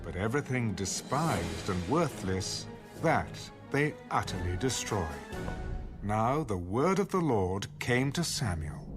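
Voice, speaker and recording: A man narrating a dramatised audio Bible in a measured, expressive storytelling manner. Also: on the low side. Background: music bed.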